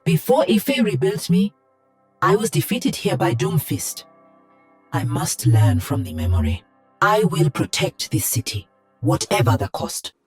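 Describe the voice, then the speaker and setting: A woman's voice: medium pitch, huge pitch swings, unhurried, darkish tone, slightly rough, faint music behind, heavily acted. A woman voicing an earnest, polite female guardian robot in a video game, speaking formally and precisely through a robotic filter. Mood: stern, resolute.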